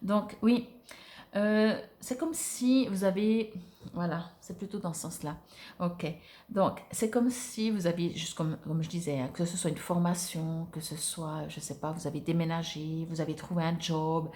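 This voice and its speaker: A woman's voice: fairly low pitch, unhurried, warm tone. A woman giving an informal spiritual card reading to viewers, speaking conversationally and hesitantly as she searches for words. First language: French. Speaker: oracle card reader.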